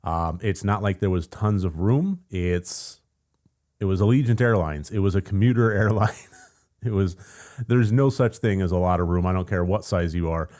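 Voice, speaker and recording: An American man with a gravelly, just-woken-up voice, chatting casually in a vlog update, rambling with fillers and soft laughs. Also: low voice, normal speed. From United States. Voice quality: gravelly morning voice. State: just woken up, voice deeper than usual. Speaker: channel host.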